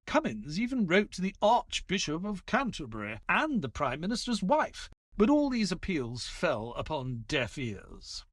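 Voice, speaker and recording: Earnest sidekick and storyteller played by a man, higher-pitched, very expressive, for a detective audio drama. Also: dry recording, clean studio sound, full character voice. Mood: grave. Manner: measured storytelling, hesitant and flustered in dialogue. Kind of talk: audio play acting with scripted first-person narration.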